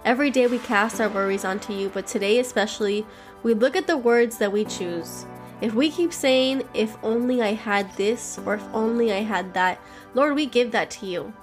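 A woman's voice: on the high side, normal speed, soft background music. Stuffy-voiced woman leading a devotional. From Miami, Florida. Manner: earnest, heartfelt, speaks straight to listeners. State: stuffy from a cold. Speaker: podcast host leading devotional prayer. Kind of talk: daily devotional talk and prayer.

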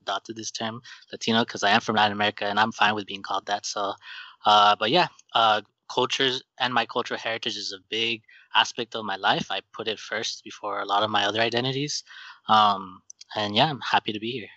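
A man from Colombia, a podcast guest, talking in a casual, earnest and measured way. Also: lowish voice, fairly even intonation, sharp tone, dry recording. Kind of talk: casual chat. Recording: dull dry lo-fi sound.

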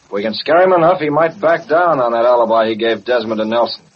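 An actor playing a commanding newspaper boss and crime fighter in American radio drama, brisk and authoritative. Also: male voice, mid-range voice, natural voice. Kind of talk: old-time American radio drama acting. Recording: muffled, hissy vintage radio recording.

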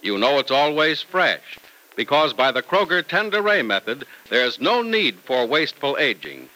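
A man announcing in a polished, emphatic 1950s radio style, as narrator and pitchman. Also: pushed and bright, rough recording.

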